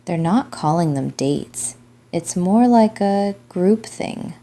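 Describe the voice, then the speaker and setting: A woman voicing a deadpan, exasperated teenage girl in a story, tending to mutter. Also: fairly low pitch, slow pace. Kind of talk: story character voice.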